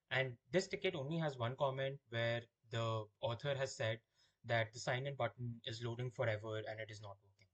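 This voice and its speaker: A man with a husky, slightly rough voice walks through a software product. He explains each step in an orderly way, like a presenter giving a tutorial, at a middling pitch. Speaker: product demonstrator. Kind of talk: software demo walkthrough.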